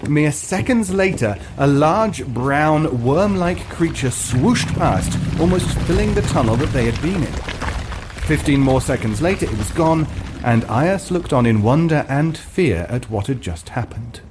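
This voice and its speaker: A man's voice: fairly high pitch, animated, unhurried, music in the background. A man reading scripted fiction as narrator, in a dramatic comic storytelling style. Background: ambient sound effects.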